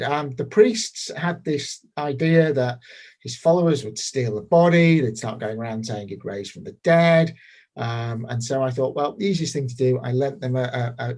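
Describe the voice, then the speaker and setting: An actor playing a casually callous, self-justifying Roman governor giving courtroom testimony in a hesitant, dismissive, conversational way. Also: male voice, mid-range voice, unhurried, darkish tone. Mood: dismissive, evasive.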